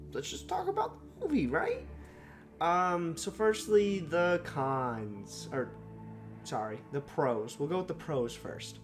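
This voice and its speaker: A young man with a slightly sore, raspy throat, giving unscripted first impressions of a movie, rambling with lots of fillers and self-corrections. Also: medium pitch. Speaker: movie reviewer.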